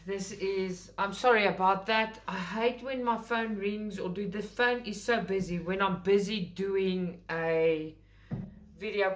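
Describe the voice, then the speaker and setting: South African woman, Afrikaans first language, enthusiastic rambling craft vlog host, casual unboxing chat. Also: mid-range voice. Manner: chatty, enthusiastic, rambling with self-corrections. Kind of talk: casual unboxing talk to camera. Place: indoors at a table, filming overhead.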